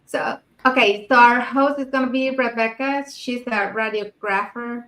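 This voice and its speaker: A woman from Mexico co-hosts the show, reading out questions and panelist names. Her voice is fairly high and echoes as if in a bare room. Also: steady tone, moderate pace, a bit bright. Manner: reads questions and lists. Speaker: co-host. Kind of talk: casual talk show chat. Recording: roomy echo.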